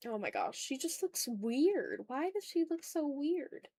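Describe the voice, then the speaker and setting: A woman's voice: high voice, big pitch swings, unhurried, dark tone, no echo. A woman streamer talking casually and informally, half to herself and half to viewers, as she works on art. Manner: informal, self-critical muttering to herself and viewers. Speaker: streamer hosting an art livestream. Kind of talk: casual chat while drawing.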